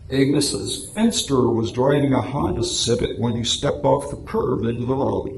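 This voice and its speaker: A man performs a police patrolman in a radio play, explaining things patiently and apologetically without giving way. His pitch is middling, and the room is echoey with some background noise. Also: moderate pace, vocal fry, lightly acted. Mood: matter-of-fact. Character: apologetic but unbending male police patrolman. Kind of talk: amateur radio drama reading.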